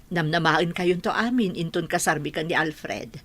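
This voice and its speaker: A woman's voice: fairly low pitch, moderate pace, fairly bright tone. A woman playing a tender, grateful widowed bride in an Ilocano radio drama, speaking in an earnest, sentimental way. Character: widowed bride Aurelia, tender, grateful, newly happy after grief.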